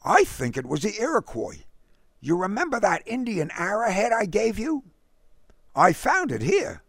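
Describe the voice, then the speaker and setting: Man putting on a high-pitched, bossy young boy. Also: wildly swooping pitch, moderate pace, gravelly, a bit bright, dry recording, clean studio sound, exaggerated voice. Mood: casual. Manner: urgent, exclamatory.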